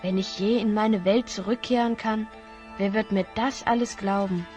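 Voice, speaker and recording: A woman's voice: medium pitch, steady tone, moderate pace, darkish tone, faint music behind, natural voice. A woman playing an earnest young boy hero stranded in a fantasy world, in a children's audio drama. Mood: wistful.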